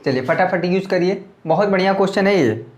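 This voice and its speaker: A man's voice: high-pitched, fairly quick, echoey room, dull audio. A man teaching a maths lesson at a board with a slightly sore throat, talking casually and often checking that students follow. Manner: chatty, repeats checks like "clear?" to students.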